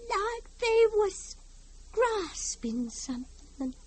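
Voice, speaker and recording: A woman's voice: very high voice, sing-song, exaggerated voice. An actress playing a haunted, fragile older mother in an old-time radio drama, theatrical, pleading and unhinged. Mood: plaintive, coaxing. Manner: theatrical, wheedling, drawn-out pleading.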